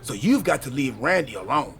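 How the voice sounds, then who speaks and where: A man's voice: mid-range voice, very animated, fairly quick. A man playing a chatty, quirky, earnest friend in video game cutscene dialogue. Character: chatty, quirky, earnest male friend and time-travel teammate.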